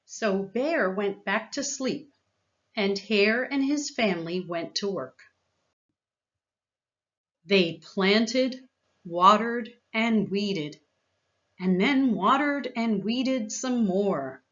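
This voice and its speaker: A woman narrates a children's story with expressive care. Her voice is bright and the pace slow and deliberate. The recording is a little dull. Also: medium pitch. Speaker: volunteer gardener reading a children's book aloud.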